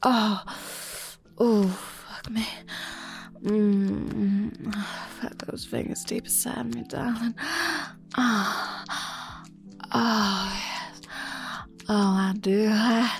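Woman acting out a playful seductive server, breathy whisper, drawn-out slow delivery, gravelly fry. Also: medium pitch, steady tone, faint music behind. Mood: breathless. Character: flirty, teasing adult woman server. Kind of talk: intimate audio roleplay.

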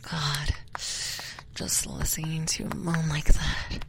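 A woman in an intimate audio roleplay, speaking in a soft, breathy whisper that stays slow and close. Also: low-pitched. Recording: steady background hiss.

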